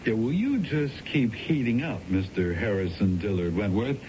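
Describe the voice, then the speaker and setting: Actor as a sly schemer with swooping pitch. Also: male voice, expressive, normal speed, natural voice. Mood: cocky, evasive. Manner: evasive, wisecracking. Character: sly, cocky male schemer coaching his accomplice. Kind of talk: 1970s radio drama acting. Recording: dull, noisy old radio recording.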